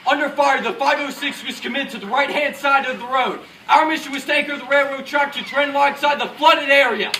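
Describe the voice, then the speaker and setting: A man performs as an earnest American paratrooper officer declaiming his war memories in a stage drama. The voice is bright and sharp for a soldier. It comes from a distance in an echoey room. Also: very high-pitched, steady tone, brisk, hardly any pauses, a little hiss or hum, exaggerated voice. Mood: forceful. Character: male American WWII paratrooper officer, resolute, recalling battle. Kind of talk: rehearsed dramatic war monologue.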